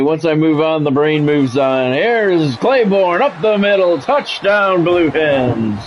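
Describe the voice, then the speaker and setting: A man with a slightly high-set voice does live play-by-play for a football video game. He moves between clipped announcer calls and casual muttered asides. The sound is a bit dull, with faint noise behind him. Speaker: game streamer calling play-by-play. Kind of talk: live sports commentary over a football video game, mixed with casual remarks.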